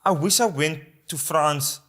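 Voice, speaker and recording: A man's voice: fairly high pitch, unhurried, a bit bright. A man from South Africa with a South African accent, a rugby player co-hosting in casual podcast chat.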